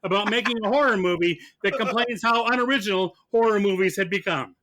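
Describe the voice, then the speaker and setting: Sarcastic guy being a joke prosecutor, bright tense voice, kinda high, stumbles along conversationally. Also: slight background noise. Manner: sarcastic, halting. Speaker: mock-trial prosecutor on a film podcast. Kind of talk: mock courtroom argument, conversational.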